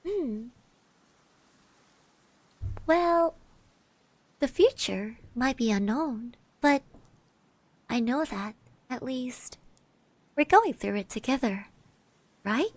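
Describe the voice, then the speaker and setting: A woman with a fairly high, soft voice plays a sweet, shy classmate in an ASMR roleplay. She speaks very slowly and gently, hesitating and often sinking into a breathy whisper. The sound is close and dry. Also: animated, dry recording. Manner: hesitant, gently reassuring, speaks of herself in third person. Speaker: ASMR roleplay performer, streamer. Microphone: close microphone.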